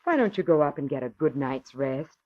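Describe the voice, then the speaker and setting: Devoted anxious daughter, low husky voice, earnest, melodramatic, vintage radio drama, dull sound. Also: female voice, lively intonation, brisk. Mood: caring. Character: caring, anxious grown daughter. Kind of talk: old-time radio drama acting. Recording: muffled vintage radio recording.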